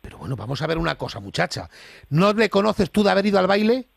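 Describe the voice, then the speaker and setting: A man's voice: high voice, big pitch swings, quick pace, vocal fry. A man with an accent from Spain making an improvised prank phone call, talking in an insistent, rambling, colloquial way. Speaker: prank caller.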